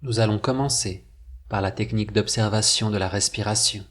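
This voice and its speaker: A man narrating a scripted guided meditation, with measured, deliberate phrasing and pauses. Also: on the low side, a bit bright.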